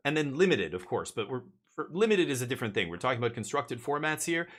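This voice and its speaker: A man from Canada talks loosely through a live gaming stream, blunt and opinionated. He swears freely and trips over repeated words when he gets worked up. His voice is bright and forward, sitting at an ordinary male pitch. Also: very animated, fast. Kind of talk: casual live-stream chat while gaming.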